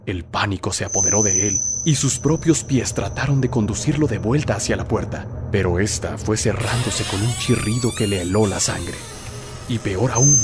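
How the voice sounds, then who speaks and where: A man narrating a scripted horror story in a theatrical, suspenseful storytelling manner. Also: fairly low pitch.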